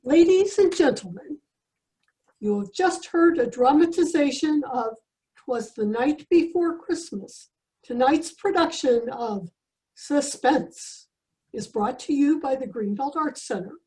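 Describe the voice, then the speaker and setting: A woman's voice: high-pitched, animated, takes it slowly, warm tone, rough recording. A woman announcer giving a scripted sign-off in the style of old-time radio. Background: plucked string music.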